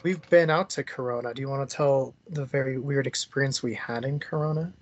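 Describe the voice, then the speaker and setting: A man with a medium-pitched voice talks casually as a guest on a podcast. He explains things conversationally, as in an informal chat. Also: brisk, faint music behind.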